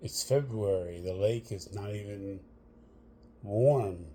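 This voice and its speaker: A man guides a meditation in a mellow, relaxed voice. He takes his time, setting out short, measured phrases with long pauses between them. The pitch sits in a middle male register, and he addresses the listener directly. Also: slight background noise.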